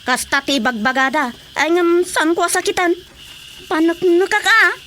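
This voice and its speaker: A very high-voiced, excitable village woman with a Filipino accent, chatting and complaining in a radio comedy. She is gossipy and full of hesitant asides. Faint noise and insect sounds sit behind her. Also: normal speed, heavily acted. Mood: chatty, complaining.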